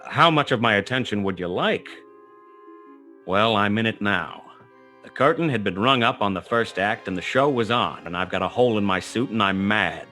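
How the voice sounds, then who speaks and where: A tough, world-weary detective narrating an old-time radio play. The voice is low and sharp, wry and clipped, over faint music. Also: male voice, animated, moderate pace. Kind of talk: 1920s hard-boiled radio play narration.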